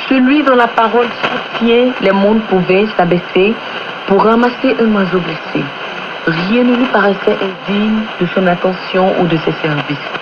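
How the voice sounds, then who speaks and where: Female radio presenter for devotional narration, measured and reverent, low-pitched, buried in loud shortwave noise. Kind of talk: scripted religious narration read aloud. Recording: noisy, muffled shortwave radio broadcast.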